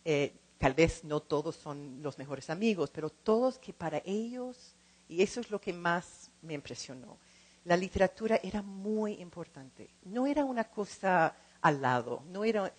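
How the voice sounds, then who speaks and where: A middle-aged woman speaking in a second language as she gives an informal talk, halting and repeating words as she searches for the next one. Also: on the low side, animated, a little mellow. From lives in California. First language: not Spanish, speaks it as a second language. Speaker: translator and program co-director.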